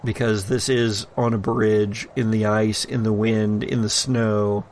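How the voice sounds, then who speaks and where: A man acting as game master, deliberately narrating scenes and explaining rules for a tabletop roleplaying game. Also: fairly low pitch, level tone, darkish tone, a little hiss or hum.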